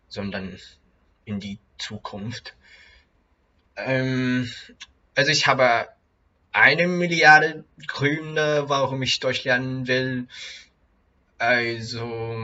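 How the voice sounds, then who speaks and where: Young man, non-native learner, very slow, halting, bright tone, lo-fi home recording. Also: mid-range voice. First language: not German. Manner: halting, many restarts and fillers. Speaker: vlogger, German learner. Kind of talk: casual learner vlog.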